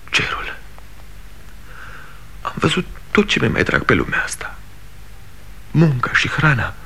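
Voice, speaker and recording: An actor playing an anguished, bitter grown son, talking with impassioned pleading that sinks to hushed confession in a radio play. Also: male voice, mid-range voice, breathy edge. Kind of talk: 1960 radio theatre acting.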